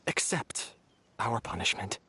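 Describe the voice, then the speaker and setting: A man voicing a resigned, repentant young man in a video game, deliberate and contrite. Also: lowish voice, sing-song, sharp tone, slight background noise, heavily acted. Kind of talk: dramatic video game voice acting.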